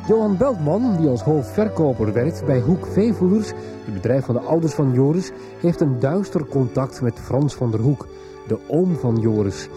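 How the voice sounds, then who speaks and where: A man narrating a scripted radio soap recap as announcer, with a suspenseful storytelling manner. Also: on the high side. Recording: radio narration over loud music. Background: music.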